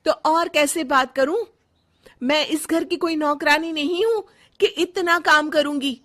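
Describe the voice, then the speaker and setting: A woman's voice: high voice, a little slow, fairly bright tone. A woman playing a frustrated, reluctant young daughter-in-law in a cartoon story, with plaintive delivery. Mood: indignant. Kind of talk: animated moral story dialogue.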